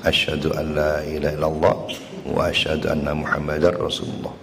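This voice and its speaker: A hoarse man giving a religious lecture in a mosque, speaking in a slow, measured way. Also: very deep voice, monotone, fairly bright tone, a little hiss or hum. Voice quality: slightly coughing. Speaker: preacher. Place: mosque hall. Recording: echoey hall with faint ambience.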